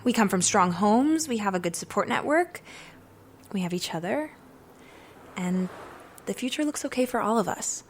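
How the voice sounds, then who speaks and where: A woman playing an earnest, lively drama student. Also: mid-range voice, normal speed, long pauses, slight background noise, natural voice. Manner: earnest, self-doubting. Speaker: acting student in audio drama. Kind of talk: audio drama acting.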